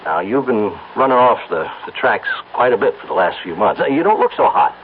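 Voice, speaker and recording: A man's voice: fairly low pitch, animated, a little fast, close to an everyday voice. A man playing a blunt, slangy coworker. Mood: wry. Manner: gruff slangy banter, stammers when awkward. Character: blunt, wisecracking male coworker. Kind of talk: 1970s radio drama acting. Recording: tinny old radio broadcast with faint hiss.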